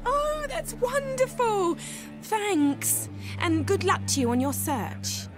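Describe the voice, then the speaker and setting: A woman's voice: very high voice, sing-song, slow, music in the background, full character voice. A woman with a British accent playing a naive, chatty, lovestruck young maidservant in a video game. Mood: dreamy, eager. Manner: chatty, wide-eyed, rustic grammar.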